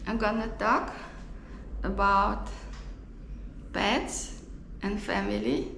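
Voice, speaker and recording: A woman from Romania with a Romanian accent tells a personal story in a halting, reflective way, recorded on an action camera in a roomy space. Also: mid-range voice, fairly even intonation, gravelly, fairly bright tone. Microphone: GoPro camera. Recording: roomy echo, faint background noise.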